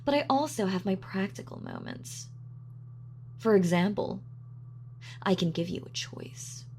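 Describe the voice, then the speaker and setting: A woman's voice: medium pitch, expressive, unhurried, darkish tone, slight background noise. A woman playing a gloating, sadistic supervillain in an audio roleplay, with a mock-sweet, sardonic, taunting manner. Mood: smug, sardonic. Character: gloating female supervillain, sadistic, darkly playful. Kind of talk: villain monologue in audio roleplay.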